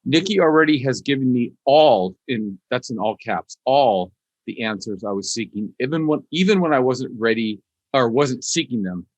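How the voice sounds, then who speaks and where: A man's voice: medium pitch, expressive, normal speed, dry recording, low-quality recording. A man hosting a podcast in a casual, informal interview style with a playful, jokey manner.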